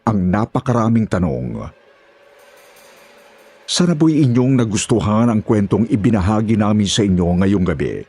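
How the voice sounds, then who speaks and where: Low-voiced male horror storyteller. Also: animated, normal speed, pauses often, darkish tone, breathy edge. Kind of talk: scripted dramatic narration.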